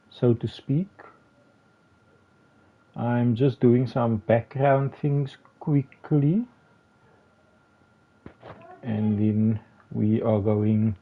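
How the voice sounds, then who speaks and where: A slightly hoarse man commentating his game live, talking haltingly with many restarts and fillers. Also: medium pitch. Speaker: game streamer. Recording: tinny phone-like sound with faint music.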